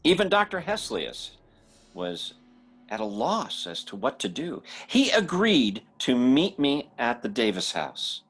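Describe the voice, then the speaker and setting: Haunted young narrator for radio horror: nervous, halting, slow, with big swings in pitch. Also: male voice, wildly swooping pitch, fairly bright tone, slightly rough, full character voice. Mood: grave narration. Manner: halting, stammering delivery. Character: anxious young man narrating a supernatural horror tale. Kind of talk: old-time radio drama acting. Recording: old-time radio drama with faint music.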